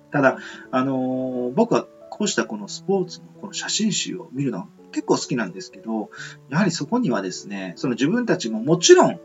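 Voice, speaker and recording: A man hosts a homemade radio show with a very animated, swinging intonation. He speaks politely and earnestly, feeling for his words as he muses. The sound is a little muffled and lo-fi, with guitar music underneath. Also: medium pitch. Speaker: radio host. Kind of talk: rambling reflective monologue for an amateur radio show. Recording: dull lo-fi audio.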